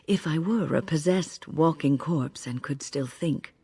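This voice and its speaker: An actress playing a composed older female mage mentor in a video game, husky, measured and earnest. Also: deep voice, mellow, dry recording, full character voice. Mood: grave, confiding. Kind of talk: video game drama acting.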